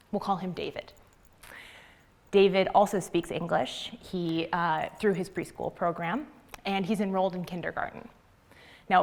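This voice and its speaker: A young adult woman from the United States giving a prepared public talk in an earnest, measured way with deliberate pauses. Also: lowish voice. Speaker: graduate student speaker.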